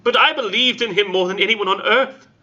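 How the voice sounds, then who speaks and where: A man putting on a grieving young woman's falsetto. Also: fairly quick, sharp tone, lo-fi sound. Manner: breathless, pleading, high falsetto.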